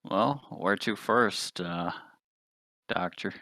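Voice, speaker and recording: A man's voice: sits low, drawn-out words, vocal fry, bright, forceful tone, no echo. A man playing a tabletop role-playing game, chatting casually in character.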